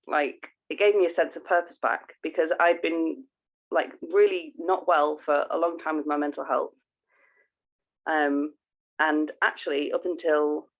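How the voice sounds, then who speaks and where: Woman podcast co-host, earnest and gushy chat, sounds like she's on a thin phone connection. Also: low-pitched. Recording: tinny phone-like call audio.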